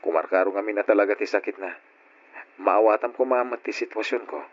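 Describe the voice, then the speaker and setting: An actor playing a patient, burdened grown son who cares for his ailing parents, speaking gently and earnestly in an old-time radio drama style. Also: lowish voice, a little fast, lightly acted. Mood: pleading. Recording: dull lo-fi radio drama recording.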